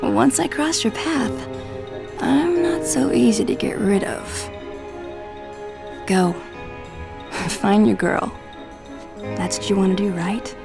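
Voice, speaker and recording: An actress playing a playful, flirtatious masked antihero in a video game, teasing and sardonic. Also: female voice, mid-range voice, slow pace, creaky, pauses often. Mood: wry, resigned. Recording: music behind the voice.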